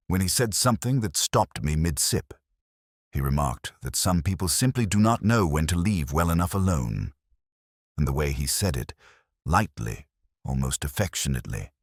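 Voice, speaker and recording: A man playing a reflective, observant police inspector who narrates a detective audio drama in a measured, literary, brooding manner. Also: extremely low pitch, no echo, studio quality, full character voice.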